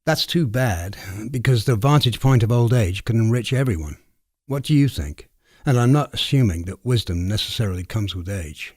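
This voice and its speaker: A man's voice: mid-range voice, lively intonation, normal speed, darkish tone, dry recording, clean studio sound. A man hosting a literary interview in a reflective, conversational way. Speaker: podcast host.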